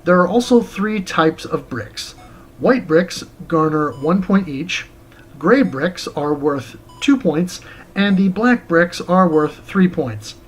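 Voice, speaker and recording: Male game reviewer, higher-than-average pitch, scripted narration, faint background noise. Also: lively intonation, takes it slowly, poor audio. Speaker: video game reviewer, show host.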